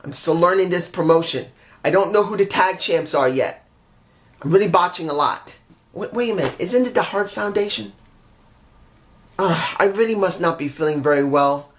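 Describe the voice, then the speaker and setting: A man's voice: high-pitched, normal speed. A man with a head cold giving a casual solo review, rambling, correcting himself and grumbling in frustrated asides. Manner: rambling, keeps correcting himself and apologizing for mix-ups. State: stuffy head cold, under the weather. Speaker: wrestling show reviewer.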